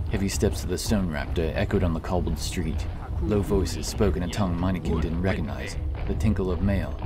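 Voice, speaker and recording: A man narrating a fantasy story in a steady, scripted style. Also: low voice. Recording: narration over loud music.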